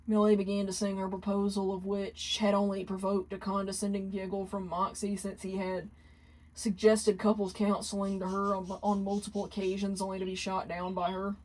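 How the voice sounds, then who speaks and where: A woman's voice: mid-range voice, monotone, moderate pace, darkish tone, poor audio. A woman reading fan fiction aloud as scripted narration. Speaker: story reader.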